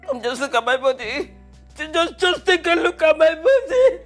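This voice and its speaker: Actress as a high-voiced princess, tearful, pleading. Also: female voice, sing-song, moderate pace, soft background music. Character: young princess, beaten by her twin brother.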